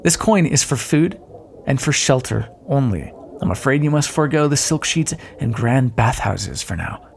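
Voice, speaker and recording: A man's voice: mid-range voice, lively intonation, normal speed, gravelly, a little hiss or hum. A man playing a roguish, sardonic but decent thief in an audio roleplay, talking directly and teasingly to the listener. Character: roguish male thief escorting a deposed queen, wry but decent.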